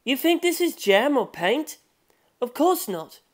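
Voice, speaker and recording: A woman's voice: sits high, very animated, a little slow, pitched above the performer's own voice. Woman doing a blunt artist guy from a mystery audiobook, super curious, assertive, picks everything apart. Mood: insistent. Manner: assertive, analytical. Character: intensely curious, blunt male manga artist.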